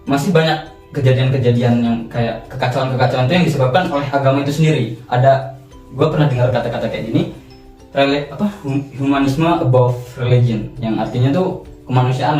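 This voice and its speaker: A man's voice: medium pitch, fairly quick, a little mellow. A man in his twenties, a student, explaining his views casually in slangy speech with restarts. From Indonesia. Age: 20s. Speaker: student interviewee. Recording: roomy distant mic with music.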